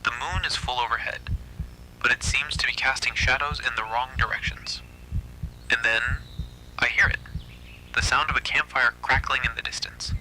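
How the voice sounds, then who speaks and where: A man narrating a scripted first-person horror story in a hushed, breathy, suspenseful delivery. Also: mid-range voice, slight background noise, low-quality recording.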